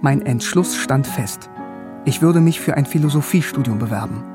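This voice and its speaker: A man's voice: medium pitch, moderate pace, close to an everyday voice. A man playing a wry, bookish young man who narrates his youth in a radio drama, articulate and self-ironic. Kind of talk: scripted first-person radio drama narration. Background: music.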